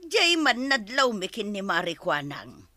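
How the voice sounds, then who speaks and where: An actress playing an excitable, gossipy village woman in an Ilocano radio comedy drama. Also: medium pitch, measured pace, dry recording.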